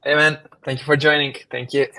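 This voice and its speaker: Man, from Ukraine, co-host, online webinar, halting, a bit nervous, computer mic. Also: fairly high pitch, little pitch movement, sharp tone, rough recording. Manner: admits nerves, halting with restarts. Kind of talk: live webinar, casual opening chat.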